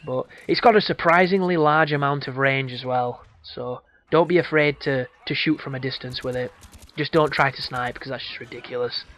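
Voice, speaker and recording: Hoarse man commentating gameplay. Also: mid-range voice, normal speed, a bit bright. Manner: casual, crude, rambling asides. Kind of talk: game walkthrough commentary.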